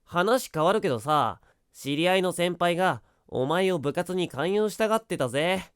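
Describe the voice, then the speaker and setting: A performer playing an energetic, teasing teenage schoolboy in an anime-style voice drama, with boyish, slangy speech. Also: mid-range voice, normal speed, smooth, no room sound, clean studio sound, lightly acted. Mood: casual, easygoing. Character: energetic, upbeat teenage schoolboy, passionate dancer.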